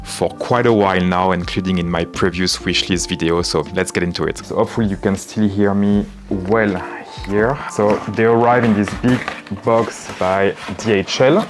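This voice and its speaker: A man with a French accent, talking calmly to camera in a casual vlog with frequent fillers. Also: low-pitched, music in the background. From France. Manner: calm, measured, frequent "you know" fillers. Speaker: menswear and vintage YouTuber. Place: home in Southern California.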